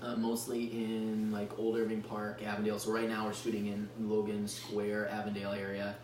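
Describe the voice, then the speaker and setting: An American man from the Chicago suburbs co-hosting a podcast, rambling and joking in casual animated chat and acting out exchanges. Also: fairly low pitch, normal speed. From Chicago suburbs, United States. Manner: animated, rambling, swears freely, acts out quoted exchanges. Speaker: podcast co-host. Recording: roomy echo, distant mic, faint hum.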